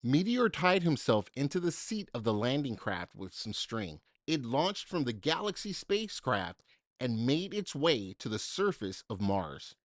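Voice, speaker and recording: A man reads a children's storybook with a lively, swooping delivery. His pitch sits in a middle range and moves widely, with deliberate, dramatic emphasis. The recording is dry and close. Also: dry recording. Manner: dramatic, deliberate phrasing with emphasis for young listeners. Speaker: storybook reader. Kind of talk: expressive children's story read-aloud.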